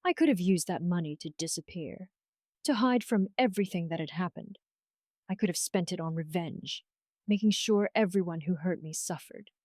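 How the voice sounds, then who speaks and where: A woman's voice: fairly low pitch, normal speed, darkish tone, no echo, clean studio sound, close to an everyday voice. A woman voicing a tender, resolute young survivor in an audio romance story, speaking earnestly and with measure.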